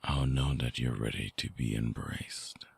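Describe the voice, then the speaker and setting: A brooding vampire ex-lover, acted by a man, murmurs right up close in an extremely low voice full of heavy fry. He is breathy and husky, lovesick and possessive, coaxing slowly in an intimate ASMR roleplay with a very dry, close sound. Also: dry recording, heavily acted. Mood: tender, reluctant. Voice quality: very deep, breathy, gravelly. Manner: slow, hushed, coaxing, with long pauses. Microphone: close microphone, dry binaural recording.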